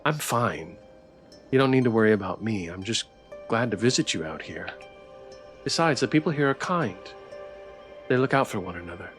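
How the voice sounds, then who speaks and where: A man voicing a caring, earnest young protagonist in a visual novel, gently reassuring, with music behind him. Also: fairly low pitch, lively intonation, moderate pace, pauses often. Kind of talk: visual novel character reading.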